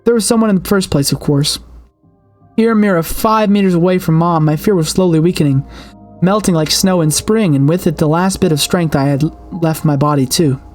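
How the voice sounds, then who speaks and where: Expressive horror narrator over music. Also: medium pitch, fairly quick. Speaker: streamer reading a visual novel aloud. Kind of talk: dramatic horror story narration.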